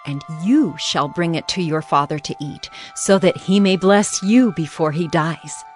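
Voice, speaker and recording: A commanding, scheming mother from a Bible drama , played by a woman. She urges firmly in a slightly low voice, over a music bed. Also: a little slow, lightly acted. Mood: urgent, conspiring. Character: commanding, scheming mother Rebekah of grown sons. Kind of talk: dramatized Bible audio acting. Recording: music under the voice.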